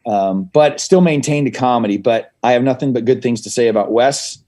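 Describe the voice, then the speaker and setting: A middle-aged man talking at an ordinary pitch, circling back on his sentences and restarting them often. He delivers his jokes dry, with a wry, unhurried feel. It sounds like a relaxed podcast interview. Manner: rambling, self-interrupting, dry humor. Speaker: guest comedy writer and actor.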